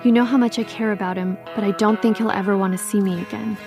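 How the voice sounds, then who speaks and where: A woman's voice: medium pitch, fairly even intonation, quick pace, close to an everyday voice. A woman playing an earnest interviewee, the loyal friend of a missing girl, speaking plainly. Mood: distressed. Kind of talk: interview in an audio drama. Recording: music clearly behind the voice. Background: music.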